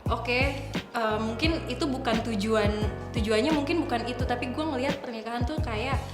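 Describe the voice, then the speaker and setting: A woman's voice: on the high side, moderate pace. A woman in her twenties with an Indonesian accent, talking casually in a group discussion and mixing in English phrases. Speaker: panelist. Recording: roomy sound with music underneath. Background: background music.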